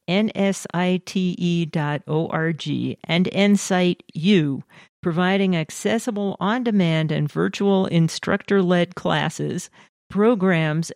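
A woman co-hosting a podcast, reading intros and sponsor notes in a measured, announcing way. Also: on the low side, gravelly, darkish tone, dry recording, clean studio sound. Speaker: podcast co-host. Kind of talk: scripted host reading.

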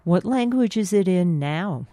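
Woman asking questions, really animated voice, dry sound. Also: fairly low pitch, measured pace, dry recording. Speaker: co-interviewer. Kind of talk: interview questions.

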